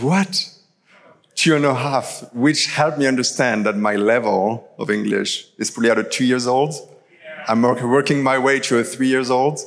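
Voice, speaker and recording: French guy pastor, lowish voice, chatty sermon, long hall echo. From France. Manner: casual, chatty storytelling with many fillers. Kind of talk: live sermon. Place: large church hall. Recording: live church recording with long hall reverb.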